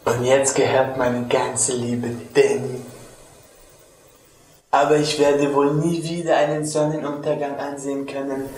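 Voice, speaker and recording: A man's voice: mid-range voice, little pitch movement, measured pace, a little mellow, lightly acted. A young man playing a tender, heartbroken young woman, a nurse, in an amateur film parody, earnest and lovesick. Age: young adult. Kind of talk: melodramatic parody acting. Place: tiled public restroom, indoors. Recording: echoey tiled room.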